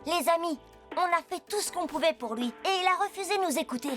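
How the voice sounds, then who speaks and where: A woman's voice: extremely high pitch, animated, fast talker, fairly bright tone, soft background music. A woman voicing a blunt, insistent schoolboy in a cartoon, reasoning in a matter-of-fact way. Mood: frustrated. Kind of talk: animated series dub.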